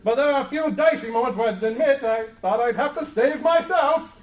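Man as bombastic high-pitched adventurer hero. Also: a little fast. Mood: boastful. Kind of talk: radio comedy acting. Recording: echoey, distant, music and crowd behind.

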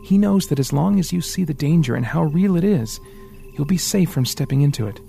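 A man doing a calm, fatherly hero. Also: on the high side, a little fast, gravelly, soft background music, pitched above the performer's own voice. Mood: reassuring. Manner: calm, reassuring, earnest. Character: steady man with a metal arm, fatherly and resolute.

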